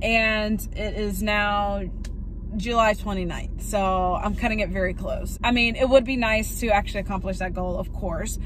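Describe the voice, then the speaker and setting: A woman's voice: medium pitch, fairly even intonation, a bit bright. A woman in her twenties talking casually to the camera from a parked car, upbeat and chatty. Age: 20s. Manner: chatty, upbeat, quick asides. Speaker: vlogger. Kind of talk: casual vlog talk to camera. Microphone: camera on the dashboard. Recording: noisy car interior.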